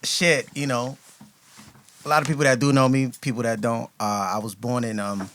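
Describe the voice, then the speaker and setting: A young man from New York, a rapper and podcast guest, telling his life story in a relaxed way. He laughs often and swears freely. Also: medium pitch, normal speed, background noise. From New York, with Ghanaian parents. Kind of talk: casual storytelling interview. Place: podcast studio.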